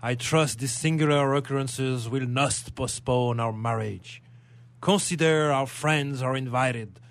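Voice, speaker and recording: A man playing an earnest, fond young bridegroom in an old radio melodrama, with measured formal diction. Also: medium pitch, lightly acted. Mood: concerned, fond. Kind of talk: old melodramatic stage play as radio drama.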